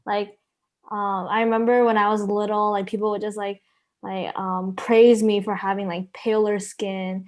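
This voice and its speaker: A woman's voice: medium pitch, little pitch movement, moderate pace, darkish tone. A teenage girl co-hosting a podcast, talking casually with many "like" and "um" fillers. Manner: hesitant, heavy "like" and "um" fillers. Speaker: podcast co-host. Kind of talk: casual chat.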